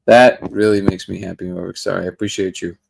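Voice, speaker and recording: A man's voice: deep voice, fairly even intonation, fast talker, creaky, background noise. A man hosting a daily livestream, chatting casually and greeting viewers by name. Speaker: livestream host. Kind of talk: casual live chat reading comments.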